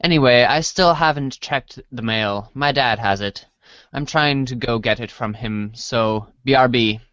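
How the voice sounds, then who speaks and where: A man's voice: mid-range voice, unhurried, a bit bright, no echo, natural voice. A man playing a goofy, playful and defensive teenage boy in a casual dramatized chat reading. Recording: dull, roomless lo-fi audio.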